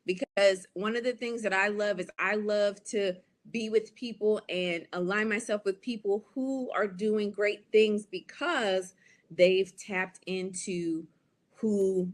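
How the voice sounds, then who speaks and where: A woman's voice: mid-range voice, slow pace. A woman in her forties with an American accent, giving a casual, chatty presentation as a business coach, often checking in with the audience. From United States. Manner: lively and chatty, with frequent "okay?" and "y'all" asides.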